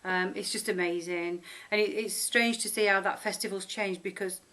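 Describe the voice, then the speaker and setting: A woman's voice: medium pitch, steady tone, normal speed, fairly bright tone. A middle-aged woman from Sheffield with a Northern English accent, chatting informally and unscripted to her viewers in a rambling, affectionate way. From Sheffield, northern England. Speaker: vlogger introducing herself. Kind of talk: casual unscripted chat to camera.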